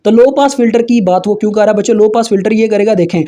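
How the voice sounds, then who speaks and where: Young Pakistani tutor, 20s, high but mellow voice, casual and chatty, slows down to read textbook lines. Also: male voice. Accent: Pakistani Urdu. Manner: informal, addresses viewers as kids, frequent fillers and restarts; slowly reads English textbook lines aloud. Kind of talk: casual explanatory lecture over slides.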